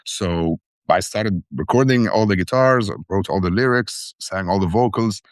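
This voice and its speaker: A man from Syria speaks in a very deep register. He tells his story earnestly and rambles, often restarting his sentences. The recording is dry and close. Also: unhurried, no room sound. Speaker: band founder and vocalist. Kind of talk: interview guest, casual storytelling. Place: living in the Netherlands.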